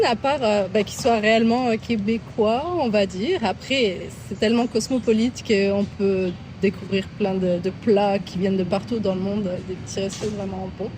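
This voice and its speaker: A middle-aged woman from France, answering questions in a casual street interview in a park, thoughtful and hesitant, often laughing softly. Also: fairly high pitch, steady tone, normal speed, hardly any pauses. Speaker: interviewee, passer-by. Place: outdoor city park. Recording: outdoor park noise, traffic.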